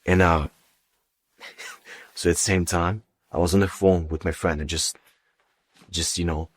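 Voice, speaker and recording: Deep-voiced man telling a personal story. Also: fairly even intonation. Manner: halting, repetitive, emphatic. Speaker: guest giving a personal testimony.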